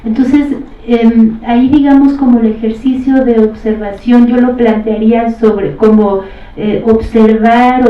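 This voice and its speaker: A woman who teaches, speaking on a discussion panel in a deliberate, reflective way, thinking aloud. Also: on the high side. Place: roomy panel venue. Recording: echoey, distant mic, dull.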